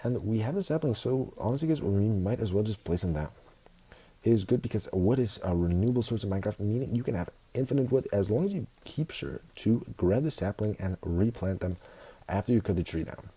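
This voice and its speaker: A young man with a cold narrates his game build, his nose stuffed up and his low voice dark and husky. He gets excited easily and keeps repeating words as he chats along. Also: brisk. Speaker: gaming video host. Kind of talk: casual Minecraft commentary.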